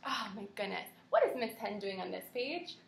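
A woman's voice: medium pitch, very animated, normal speed, vocal fry, a little hiss or hum. A young woman, a kindergarten teacher, reading aloud and asking children questions in an encouraging, carefully articulated way. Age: young adult. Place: small room at home, indoors. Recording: roomy, echoing home video.

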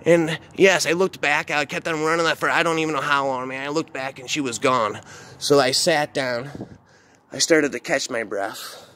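A man tells a horror story in the first person, casually and with plenty of slang and swearing. His voice is fairly high and forceful, and he rambles and repeats himself. Faint ambient noise sits behind him. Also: moderate pace.

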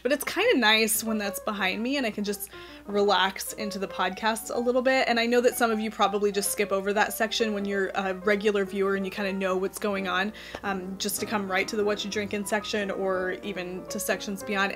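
A woman in her thirties chats to camera from a cozy home office, her voice slightly nasal from a cold. She talks loosely and warmly with plenty of ums and little laughs, her pitch sitting in a middle range, and music plays clearly underneath. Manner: chatty, many ums, frequent light laughs. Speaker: knitting podcast host. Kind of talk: casual chat to camera.

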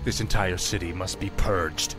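An actor playing a stern, vengeful young warrior prince in a fantasy video game, intense and commanding. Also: male voice, low-pitched, fairly even intonation, normal speed, fairly bright tone, soft background music. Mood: grim resolve.

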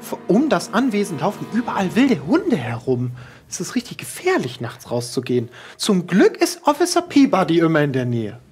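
A man's voice: high-pitched, wildly swooping pitch, moderate pace, darkish tone, faint music behind. A man voicing a young, obliging housemaid who talks in a polite, gossipy, hesitant manner in an audio drama. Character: young chatty, obliging housemaid Isabelle, a woman. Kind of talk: improvised pen-and-paper audio drama acting.

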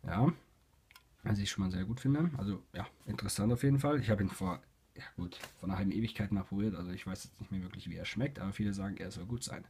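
Young guy with a cold doing a casual review, kinda low husky voice, lots of um and restarts. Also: a little mellow. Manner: halting, many fillers and restarts. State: has a cold. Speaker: YouTube reviewer. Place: indoors at a table, voice-over with hands on camera.

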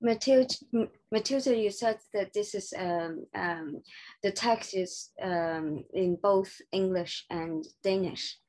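A woman moderating an online panel, asking questions haltingly with many fillers. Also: mid-range voice, a little mellow, low-quality recording.

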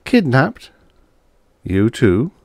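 Man voicing a proud, guarded woman, pitched up. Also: huge pitch swings, extremely slow, darkish tone, no echo, studio quality, exaggerated voice. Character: proud, guarded foreign woman scientist, coldly defiant.

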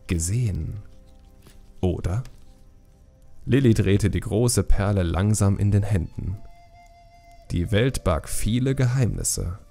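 A man narrates a fantasy audiobook in a lowish, gravelly voice with a dark, mellow tone. His delivery is scripted storytelling, set over background music.

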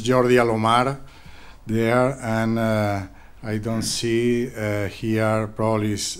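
A man chairing an academic workshop in a large lecture hall, talking haltingly with many fillers and repeated words into a handheld microphone. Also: lowish voice, clean tone. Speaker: workshop organizer chairing the session.

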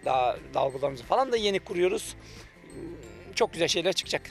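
A middle-aged man from Turkey giving an on-camera interview outdoors by a beach as a cafe manager, speaking into a handheld mic with long drawn-out fillers and confident claims. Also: fairly high pitch, very animated, soft background music. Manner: hesitant, many drawn-out fillers, self-assured claims. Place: outdoors by a beach under trees. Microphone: handheld reporter mic.

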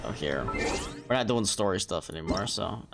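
A man's voice: deep voice, expressive, moderate pace, creaky. A man chatting casually on a gaming livestream in a wry, sweary, muttering way. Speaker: live streamer. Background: game music.

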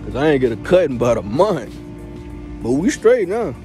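A man's voice: medium pitch, sing-song, normal speed, music in the background. A teenage boy vlogging on his way to class and joking through interviews, talking loose and slangy and laughing often. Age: teens. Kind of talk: casual vlog chat and joking interviews. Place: school hallways and grounds.

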